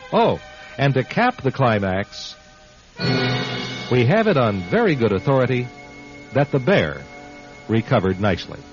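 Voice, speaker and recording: A muffled radio recording with music behind a male narrator. He hosts the drama as a wry storyteller, his pitch rising and falling in a very lively way. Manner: wry, tongue-in-cheek storyteller. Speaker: host and narrator.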